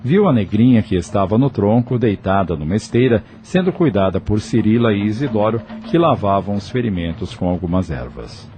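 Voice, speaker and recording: A man's voice: on the low side, lively intonation, normal speed, few pauses, dark tone. A man reading scripted narration for an audio novel as its narrator.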